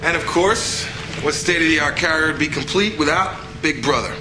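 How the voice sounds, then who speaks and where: A man's voice: a little high, normal speed, close to an everyday voice, low-quality recording. A man playing an easygoing, wry fighter pilot in a video game, talking like a playful tour guide. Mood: breezy, joking. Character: easygoing, wry young fighter pilot showing a newcomer around. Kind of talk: video game cutscene acting. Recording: clear music behind the voice.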